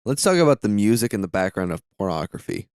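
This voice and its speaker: A man hosting a podcast in casual chat, animated and joking. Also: fast talker, smooth, no echo, clean studio sound. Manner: animated, swings pitch high when joking. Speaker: podcast host.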